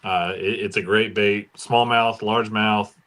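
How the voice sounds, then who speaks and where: A man's voice: lowish voice, fairly even intonation, measured pace, a bit bright. An American man chatting casually and enthusiastically about fishing gear as a podcast panelist.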